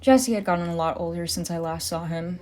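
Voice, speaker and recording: A woman's voice: fairly low pitch, brisk, darkish tone, natural voice. A woman playing a pessimistic, world-weary private detective in an audio drama, narrating and speaking in a dry, wry manner. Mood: weary, reflective.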